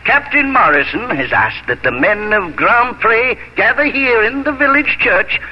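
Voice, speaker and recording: A kindly, dignified elderly village leader in a 1950s radio drama. His voice sits high and moves expressively, heard on a dull old broadcast recording with faint music. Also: lively intonation, measured pace. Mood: earnest.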